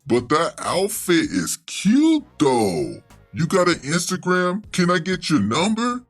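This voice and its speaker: A smooth, casual guy tries a pickup line, as a man puts him on. His pitch swings up and down in a playful, sing-song way. The slang is relaxed and drawled. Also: slow pace, soft background music, full character voice. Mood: flirty. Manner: laid-back, slangy pickup talk.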